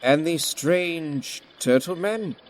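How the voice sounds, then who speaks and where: A man playing a serious, measured business advisor in an audio drama, speaking precisely. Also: a little high, a little hiss or hum, rough recording.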